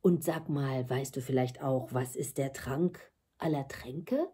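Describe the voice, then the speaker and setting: A woman reading a fairy tale as a curious, polite young prince, measured and inquiring. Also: a bass voice, mellow, slightly rough, pitched below the performer's own voice, full character voice. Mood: curious, earnest.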